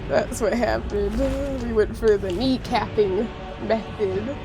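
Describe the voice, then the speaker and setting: A woman's voice: on the high side, takes it slowly, over music. Congested woman chatting with stream viewers. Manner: chatty, talks straight to viewers with lots of "like". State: congested from a cold. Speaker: game streamer. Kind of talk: casual live-stream gameplay chat.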